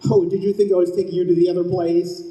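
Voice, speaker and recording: Man playing a chipper, high-voiced heavenly escort. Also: flat delivery, quick pace, dark tone, reverberant, lightly acted, rough recording. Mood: breezy, reassuring. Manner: breezy, matter-of-fact, officious. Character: chipper, businesslike male heavenly escort for souls. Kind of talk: live old-time radio play acting. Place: live stage at a convention.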